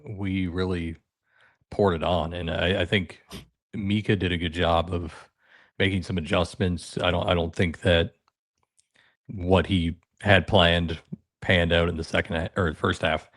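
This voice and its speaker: A man with a deep radio voice, a podcast guest chatting easily about his soccer club. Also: little pitch movement, unhurried, a bit bright, dry recording, clean studio sound. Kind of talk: casual podcast chat.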